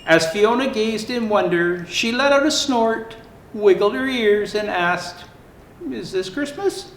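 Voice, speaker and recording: Male children's storyteller, high-pitched and theatrical, stretching words for emphasis. Roomy, echoing sound. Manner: expressive, theatrical, with drawn-out emphasis. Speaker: storyteller dressed as Santa Claus. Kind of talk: animated children's picture-book reading. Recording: roomy echo, faint background noise.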